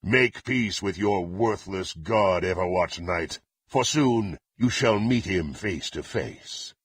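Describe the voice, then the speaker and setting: An actor playing a proud, menacing, bloodthirsty warrior in a fantasy video game, with a gravelly, husky voice and an imperious, threatening manner. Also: male voice, sits low, takes it slowly, no echo, heavily acted. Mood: cold, threatening. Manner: imperious, threatening, formal archaic phrasing.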